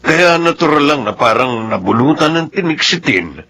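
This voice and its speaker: An actor playing a frank, sympathetic husband in a Filipino radio drama, speaking with a Filipino accent. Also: male voice, fairly high pitch, lively intonation, normal speed, vocal fry, pushed and bright, slight background noise, exaggerated voice. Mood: frank, concerned. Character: chatty, sympathetic middle-aged husband, frank and good-humored.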